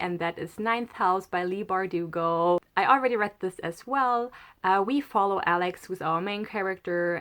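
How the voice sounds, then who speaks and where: A woman with a German accent, speaking English as a second language, talks through a book haul in a casual vlog. Her voice sits a little low for a woman, and she sounds chatty and eager as she goes. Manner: chatty and enthusiastic. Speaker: book vlogger.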